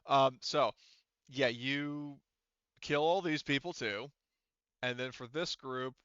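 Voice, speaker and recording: A man working as game master of a tabletop roleplaying game, narrating casually and haltingly, thinking aloud and swearing. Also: mid-range voice, a bit bright, clear, resonant tone, no room sound, dull audio.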